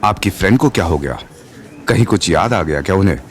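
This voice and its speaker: A man playing a stern, teasing, smug college professor in an audio drama, measured and sardonic, mixing English and Hindi. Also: deep voice, expressive.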